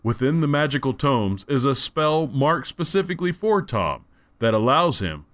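Lively, enthusiastic male reviewer. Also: mid-range voice, moderate pace. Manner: enthusiastic, conversational. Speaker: audiobook reviewer. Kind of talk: casual spoken review.

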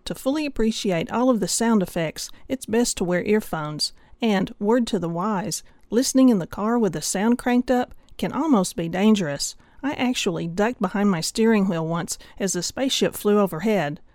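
A woman narrating a scripted audiobook review, measured and enthusiastic. Her voice sits in a middle range. The sound is dry and close. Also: medium pitch, expressive, darkish tone, dry recording.

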